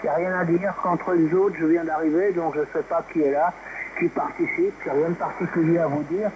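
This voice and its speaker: A man's voice: fairly high pitch, steady tone, fairly quick. A man in a casual amateur radio exchange, heard over a two-way radio. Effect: two-way radio transmission. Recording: dull, noisy amateur radio reception.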